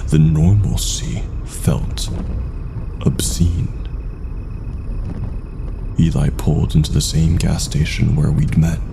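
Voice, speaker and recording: A man reading a scripted first-person horror story as narrator, in a hushed manner with dramatic pauses. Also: background noise. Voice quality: very deep, gravelly, breathy.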